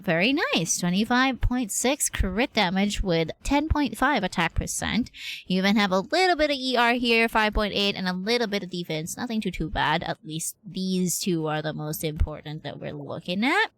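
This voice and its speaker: A woman's voice: medium pitch, expressive, normal speed, a bit bright. A woman livestreaming a game with casual, playful, slangy commentary, chatting to herself and to her viewers.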